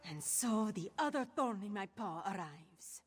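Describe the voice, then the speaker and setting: Game villain: woman as bullying cat creature, commanding, husky delivery with swooping intonation. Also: medium pitch, lively intonation, unhurried, soft background music, voice pushed down. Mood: menacing. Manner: commanding, threatening. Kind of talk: roleplay game character voice.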